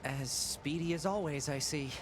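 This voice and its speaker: A low, cool female android warrior, voiced by a woman. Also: moderate pace, close to an everyday voice. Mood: calm. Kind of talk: video game voice acting. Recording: game audio with clear background noise.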